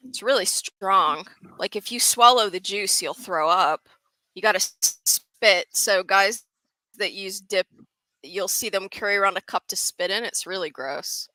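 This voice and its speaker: A woman's voice: medium pitch, a little slow, pushed and bright, dry recording, poor audio. A woman chatting casually as a guest on a live stream panel, explaining things in a blunt way.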